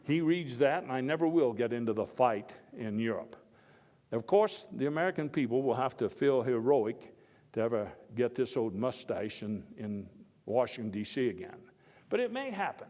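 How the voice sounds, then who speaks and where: A long hall reverb surrounds an actor as an elderly male statesman giving a dramatized historical speech. His pitch is middling, his delivery halting and conversational yet oratorical. He comes across as proud, folksy and full of opinions. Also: big pitch swings, a little mellow, lightly acted. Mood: indignant, then proud, hopeful. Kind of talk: live dramatized first-person historical speech. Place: indoor hall before a live audience.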